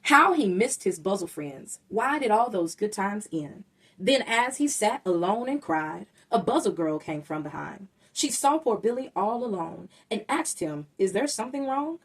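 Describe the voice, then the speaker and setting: Animated, husky woman reading a children's story. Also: medium pitch, measured pace, distant mic, poor audio. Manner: expressive, dramatic emphasis. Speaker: storybook reader. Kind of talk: animated read-aloud of a rhyming children's story.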